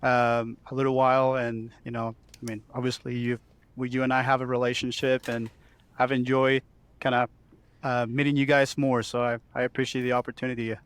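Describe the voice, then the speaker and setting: A man's voice: mid-range voice, level tone, brisk, clean tone. A man from Chile, a commercial banker talking in a casual podcast interview with many ums and uhs.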